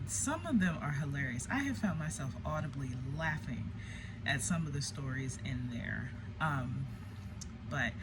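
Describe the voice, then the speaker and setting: A host chatting casually to an online audience in an informal, candid, self-deprecating way, with folksy, colloquial phrasing. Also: medium pitch. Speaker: host, storyteller. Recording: clearly noisy background with ambient sound.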